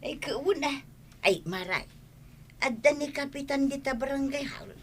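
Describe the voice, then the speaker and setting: A woman's voice: sits high, expressive, unhurried, a bit bright. A woman playing an insistent, complaining villager in a comedy skit, nagging as she talks. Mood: grumbling.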